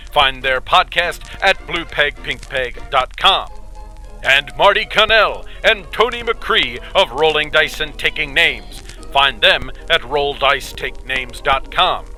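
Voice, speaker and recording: A man's voice: fairly high pitch, sharp tone, poor audio. A man reading scripted credits as the announcer of an audio drama. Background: faint music.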